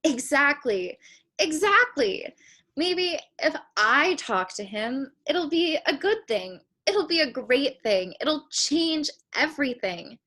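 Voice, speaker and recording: Actress as resolute young woman, hopeful, highish voice, slow deliberate pacing, comedic table read. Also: very animated, bright, forceful tone, full character voice. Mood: hopeful, determined. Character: determined, hopeful young woman, an aspiring writer.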